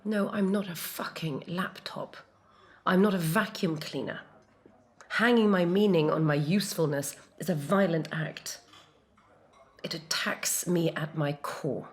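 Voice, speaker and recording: A woman's voice: on the low side, close to an everyday voice. A woman playing a defiant, sentient robot heroine in an audio drama, speaking in a dramatic, impassioned way that drops toward whispers. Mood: indignant, wounded. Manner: slow, dramatic, impassioned delivery dropping into whispers. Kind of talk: dramatized audio fiction monologue.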